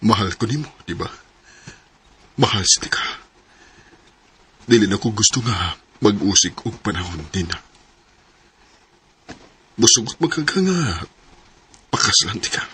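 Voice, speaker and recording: A man's voice: fairly low pitch, animated, unhurried, pauses often, bright, forceful tone, husky, heavily acted. A man acting an earnest, protective boss in love in a romantic radio-style drama, with a Cebuano Filipino accent. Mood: tender, loving.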